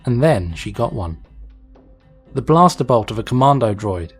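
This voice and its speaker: A man's voice: mid-range voice, lively intonation, normal speed, husky, soft background music. A man reading an action story aloud as narrator, in a dramatic scripted style. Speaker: fan fiction reader, narrator.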